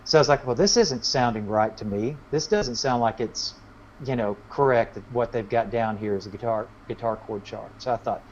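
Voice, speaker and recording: A man's voice: medium pitch, moderate pace, darkish tone. A man speaking as a country musician in a casual interview, explaining things in a rambling way. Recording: muffled, lo-fi audio with faint noise.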